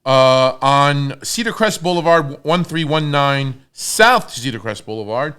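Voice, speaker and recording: A man co-hosting a casual podcast, relaxed, asking questions in a halting way. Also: mid-range voice, clean tone. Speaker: podcast co-host.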